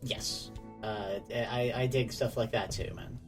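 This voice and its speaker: A man's voice: mid-range voice, fairly even intonation, slow, distant mic. A man co-hosting a podcast with casual, crude, joking banter and plenty of swearing. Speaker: podcast co-host. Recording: slightly off-mic, music behind.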